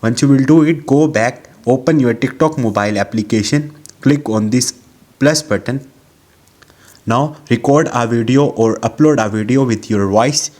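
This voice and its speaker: A man's voice: mid-range voice, normal speed, a little mellow. A man hosting a how-to tutorial, giving instructions step by step in a narrating style. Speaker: tutorial channel host.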